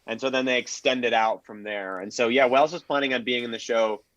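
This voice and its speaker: A man's voice comes through dry and a little dull, at a medium pitch. He lays out facts conversationally as a panelist, in a measured, informative way. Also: a bit bright, no room sound. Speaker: panelist, researcher. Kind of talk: casual explanatory discussion. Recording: dull, lo-fi close audio.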